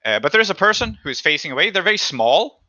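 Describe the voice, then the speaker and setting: Bright, forceful man narrating a tabletop game. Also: high-pitched, big pitch swings, quick pace, highs cut off. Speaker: game master.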